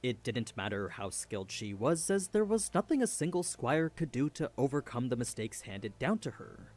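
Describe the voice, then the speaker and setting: Man narrating with lively, swooping intonation. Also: mid-range voice, normal speed. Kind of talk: scripted story recap narration.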